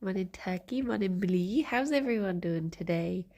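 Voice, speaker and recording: A woman's voice: medium pitch, lively intonation, moderate pace, warm tone. A woman streamer chatting casually and greeting viewers by name, a bit snuffly from a cold. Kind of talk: casual chat with live chat.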